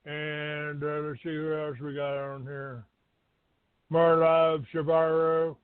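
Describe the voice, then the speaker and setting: A man's voice: on the high side, little pitch movement, takes it slowly, clear, resonant tone, no room sound. A man hosting a live call-in show in an abrasive, combative, foul-mouthed way. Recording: tinny phone-grade dry audio.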